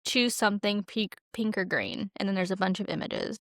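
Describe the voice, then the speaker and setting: A woman's voice: mid-range voice, normal speed, fairly bright tone, no room sound, clean studio sound. A woman co-hosting a podcast, talking in a casual, conversational style. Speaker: podcast co-host. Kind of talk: casual chat.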